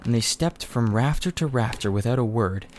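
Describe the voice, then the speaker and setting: Man reading a storybook, medium pitch. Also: expressive, moderate pace, a little mellow, slight background noise. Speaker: audiobook reader. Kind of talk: scripted storybook narration.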